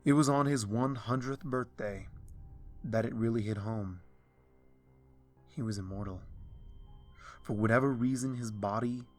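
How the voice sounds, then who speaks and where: A man reading a dark fan story aloud in a slow, hushed, brooding way. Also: medium pitch. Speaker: fanfiction reader. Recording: soft music underneath.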